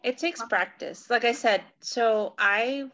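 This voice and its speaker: A woman's voice: fairly high pitch, slow pace, a bit bright, highs cut off. A woman in her forties from California, co-hosting a casual podcast and thinking aloud, often checking in with "right?". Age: 40s. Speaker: podcast co-host. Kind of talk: casual personal chat.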